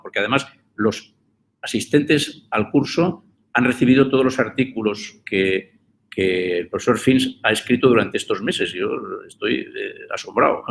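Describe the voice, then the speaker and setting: A man's voice: lowish voice, normal speed, creaky, slight background noise. A man moderating an online course, introducing a guest and asking him questions in a conversational way. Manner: conversational, with small restarts. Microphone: video call.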